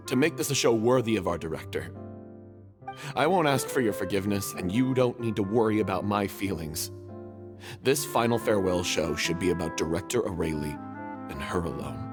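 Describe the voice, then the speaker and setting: A man's voice: on the low side, a little fast, music in the background, close to an everyday voice. An actor playing an earnest, remorseful male member of a theater troupe, speaking solemnly.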